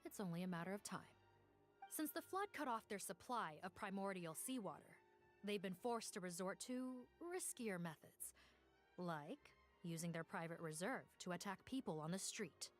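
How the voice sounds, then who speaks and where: A woman playing a composed police officer in a video game, speaking in a formal, measured, matter-of-fact way. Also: medium pitch, lively intonation, faint music behind, lightly acted. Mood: firm. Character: composed female police officer reporting on a case. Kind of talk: scripted video game dialogue.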